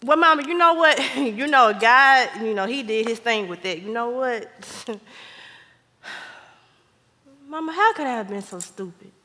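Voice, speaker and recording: A woman's voice: a little high, lively intonation, measured pace, long pauses, sharp tone. A woman acting an indignant, stubborn married daughter in a stage play, with an American accent and an exclamatory, defensive delivery. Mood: sheepish, protesting. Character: indignant, stubborn young married daughter arguing with her mother. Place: live stage in a theater. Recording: echoey theater hall with long reverb.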